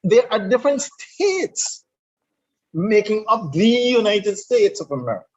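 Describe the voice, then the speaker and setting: A man's voice: sits high, big pitch swings, a little slow. A man with a Caribbean English accent who talks in an emphatic, repetitive, lecturing way in a conversational discussion. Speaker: guest speaker on leadership. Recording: dull lo-fi sound.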